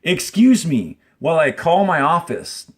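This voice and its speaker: A man's voice: on the high side, very animated, unhurried, voice pushed up. A man voicing a stern, officious Attorney General in a storybook, with a curt, official manner.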